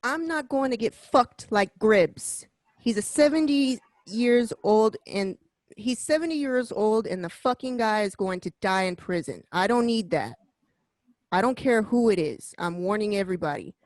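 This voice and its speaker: A woman acting as a stern mob boss in a radio drama. The speech is blunt and profane, circling back to hammer each warning home. The figure is wary and controlling, at an everyday middle pitch with a dry, close sound. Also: expressive, moderate pace, a little mellow, dry recording, close to an everyday voice. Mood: threatening. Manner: blunt, profane, emphatic repetition. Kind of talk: amateur radio drama table read.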